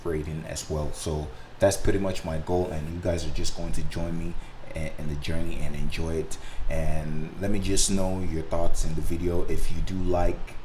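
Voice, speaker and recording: A man with a very deep voice and a Nigerian accent, a native Igbo speaker, chats off the cuff to his viewers. His delivery is rambling and hesitant, and there is some faint noise in the recording. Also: level tone, moderate pace. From Africa. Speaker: sim racing video creator and driver. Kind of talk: casual, unscripted explanation to viewers.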